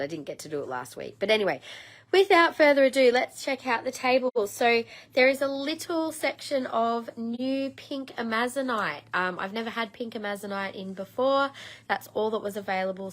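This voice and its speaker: Woman, thirties, a bit croaky, chatty live-stream seller, rambling with ums. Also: on the high side. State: slightly croaky, frog in throat. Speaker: host of a live crystal sale. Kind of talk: casual live-stream sales chat.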